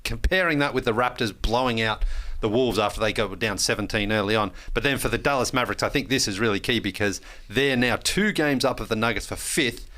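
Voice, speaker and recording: Male sports co-host, mid-pitched and very bright, casual analyst delivery. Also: brisk.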